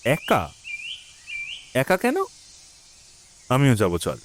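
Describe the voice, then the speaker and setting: A man's voice: medium pitch, wildly swooping pitch, extremely slow, a little hiss or hum, exaggerated voice. An actor playing an inquisitive, easygoing young male friend in an audio drama, casual and questioning. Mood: puzzled. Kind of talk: Bengali audio drama acting.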